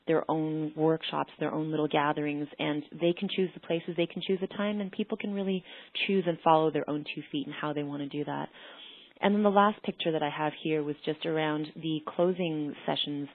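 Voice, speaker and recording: A woman's voice: deep voice, dry recording. A woman giving an informal slide presentation as a panelist, earnest and often rephrasing as she goes. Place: online panel call. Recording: narrowband phone-like online call audio.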